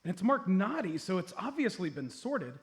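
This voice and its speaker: Man acting a high-voiced, cocky detective. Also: very animated, normal speed. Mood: puzzled, inquisitive. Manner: theatrical, mock-serious, accusing. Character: cocky, bossy amateur male detective, comically sure of himself. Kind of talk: live comedy radio play acting.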